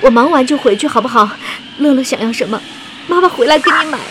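A woman playing a tender young mother heading into space in an audio drama, gentle and earnest. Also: high-pitched, lively intonation, fast talker. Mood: tender, coaxing. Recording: clearly noisy ambience.

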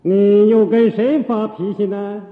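An actor playing an imperious, menacing senior palace eunuch, curt and commanding, in a Chinese period radio drama. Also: male voice, sits high, fairly even intonation, unhurried. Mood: haughty, demanding. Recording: tinny band, long hall echo.

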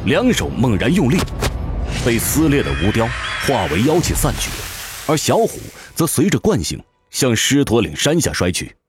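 A man delivering scripted narration as a voice actor, in a dramatic, measured storytelling manner. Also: mid-range voice, wildly swooping pitch. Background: music.

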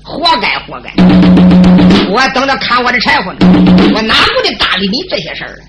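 Mocking middle-aged woodcutter man, voiced by a woman, teasing, folk storytelling with loud drums. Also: on the high side, very animated, fast, over loud music. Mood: scornful. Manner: scornful, teasing, laughing.

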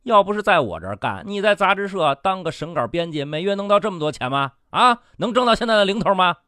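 A man's voice: high-pitched, very animated, quick pace, bright, forceful tone, no echo, clean studio sound, exaggerated voice. A man playing a bullying, cynical media boss in a radio drama, domineering and condescending, swearing and badgering with questions. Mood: angry, badgering.

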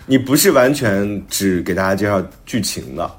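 A man's voice: on the low side, lively intonation, normal speed. A middle-aged man talking casually as a novelist, telling stories and acting out bits of quoted conversation. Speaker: novelist guest discussing own book.

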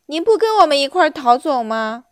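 A woman's voice: sits high, big pitch swings, brisk, no room sound, clean studio sound, pitched above the performer's own voice. A woman voicing a polite, earnest little onion boy in a children's adventure story. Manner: courteous, earnest.

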